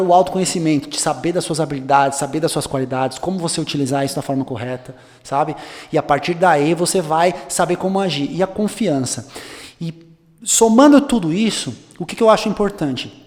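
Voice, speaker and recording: A young man talks through his advice in a loose, coaching way, full of fillers and restarts. His voice sits a little high, and a long reverb tail hangs behind it in the studio. The feel is relaxed podcast conversation. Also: a little fast.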